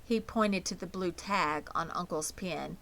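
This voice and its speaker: A woman's voice: fairly low pitch, measured pace, gravelly, a bit bright. A woman narrating a children's novel aloud as an audiobook reader. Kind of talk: scripted children's story narration.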